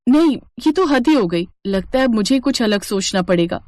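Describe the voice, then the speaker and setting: Woman acting a sweet, plucky young daughter-in-law, high-pitched. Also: expressive, normal speed, darkish tone, no room sound, lightly acted. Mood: determined. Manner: pleading yet cheeky. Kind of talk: Hindi cartoon family drama acting.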